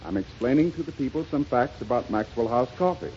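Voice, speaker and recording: An American man working as a radio announcer, delivering a formal, emphatic 1930s sponsor pitch. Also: animated, a little fast, darkish tone. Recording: lo-fi 1930s radio, faint noise.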